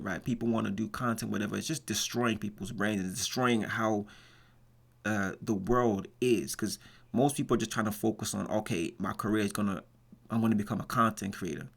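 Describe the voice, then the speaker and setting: Reaction host, American man, low and gravelly, rambling casual commentary. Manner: rambling, restarts often, drawn-out fillers.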